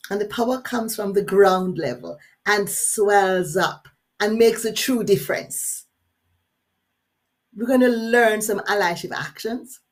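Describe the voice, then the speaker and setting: A woman from Jamaica with a Jamaican accent, leading a live workshop webinar in an energetic, engaging way and putting questions straight to her audience. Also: medium pitch, a little slow. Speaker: workshop facilitator.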